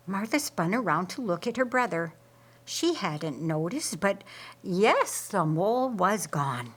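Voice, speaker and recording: A woman reading an audiobook story in a deliberate, theatrical way. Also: fairly low pitch, a bit bright. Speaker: audiobook narrator. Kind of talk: dramatic scripted storytelling narration.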